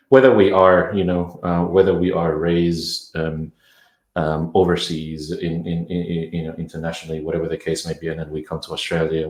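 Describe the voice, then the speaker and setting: A man's voice: low voice, roomy sound. A man from Indonesia, a parenting coach, talking thoughtfully in a podcast interview with many restarts.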